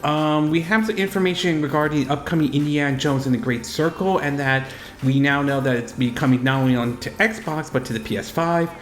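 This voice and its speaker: A man with a fairly high voice, affected by a cold, hosts a gaming news video in a roomy, echoing space. He rambles through his commentary off the cuff, halting and correcting himself as he goes. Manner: rambling, halting, self-correcting delivery with repeated verbal tags. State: fighting off a cold. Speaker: YouTube gaming news host.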